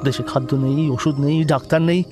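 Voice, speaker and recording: A man's voice: medium pitch, quick pace, over music, lightly acted. A man playing an earnest, secretive foreign government agent in an audio drama, speaking formally and politely with instructions. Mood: earnest, pleading. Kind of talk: dramatized audio story dialogue.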